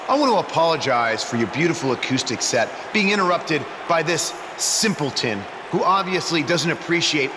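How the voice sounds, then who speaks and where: An actor playing a haughty, condescending wrestling champion villain delivering a promo in a video game. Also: male voice, a little high. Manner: pompous, condescending. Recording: echoing arena, noisy crowd.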